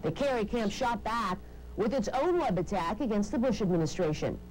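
A woman's voice: medium pitch, animated, fairly quick, a little mellow, low-quality recording. A middle-aged woman working as a news reporter, narrating a scripted political-style television package. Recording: clear background noise, control-room chatter over it.

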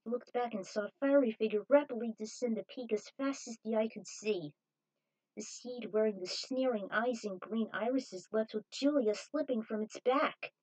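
A woman's voice: on the high side, moderate pace, darkish tone, rough recording. A woman reading a scripted adventure story aloud as narrator, in a dramatic manner.

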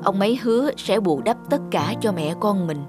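An actress playing a gentle, forgiving middle-aged mother in a cartoon drama, with a soft, imploring manner. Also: medium pitch, lively intonation, normal speed, lightly acted. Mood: pleading, sorrowful. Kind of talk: animated drama dubbing. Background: music.

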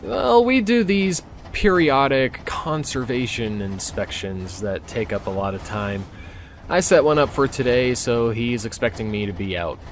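Easygoing young park ranger. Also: male voice, medium pitch, animated, measured pace, slight background noise. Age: young adult. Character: young male park ranger, obliging and casual. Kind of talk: audio drama acting, conversational.

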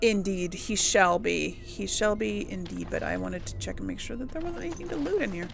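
A woman playing a game and commenting casually, excitable, talking to herself and her characters, sometimes answering in character. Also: mid-range voice, fairly quick, gravelly, slight background noise. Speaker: gamer playing and role-playing for an audience.

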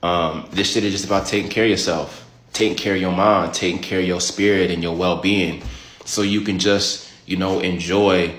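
A man, chatting straight to his phone in an animated, profane, joking way. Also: normal speed. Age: late 20s. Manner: animated, profane, jokey rants with big pitch jumps. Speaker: comedian vlogger. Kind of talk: casual direct-to-camera chat. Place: small indoor room. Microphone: phone camera at arm's length. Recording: echoey room.

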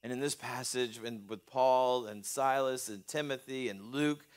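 A man's voice: medium pitch, fairly even intonation, unhurried, smooth. A middle-aged man preaching a sermon from a lectern in a small church, earnest and gesturing, with many restarts and fillers. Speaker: pastor.

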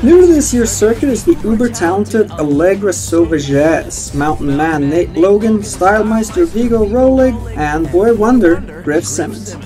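Young man, upbeat mock DJ read on a headset, slightly high voice, faint music behind. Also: expressive, measured pace, hardly any pauses, warm tone, poor audio. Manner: upbeat announcer delivery, playful. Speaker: streamer. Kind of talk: mock radio DJ announcing. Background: faint game music.

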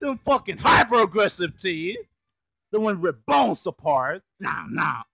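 A man's voice: sits high, sing-song, a little slow, gravelly, no echo, full character voice. A man voicing a furious, foul-mouthed cat in a crude fan dub, swearing and complaining nonstop. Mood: menacing. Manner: swears constantly, shouts and complains.

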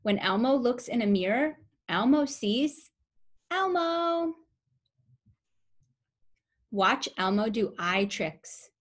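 A young woman with a fairly high voice reads a story aloud for small children. She takes it very slowly, in the simple, expressive way of a preschool teacher, often putting questions to her young listeners. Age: young adult. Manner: simple, expressive phrasing aimed at toddlers, with questions.

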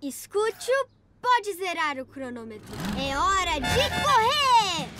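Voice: very high-pitched, very animated, takes it slowly, full character voice. A performer voicing an eager, earnest young boy racing motorbike, the upbeat hero of a dubbed children's cartoon. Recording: music behind the voice.

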